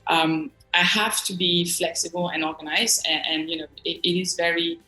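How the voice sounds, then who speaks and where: A woman's voice: on the low side, fairly even intonation, moderate pace, sharp tone, reverberant, faint music behind, low-quality recording. A woman from northern France with a French accent, giving earnest, reflective answers as an interview guest. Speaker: interview guest, design agency executive.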